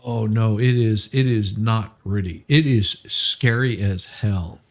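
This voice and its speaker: A man chatting casually as a livestream co-host, telling stories in a halting way with repeated words. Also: fairly low pitch.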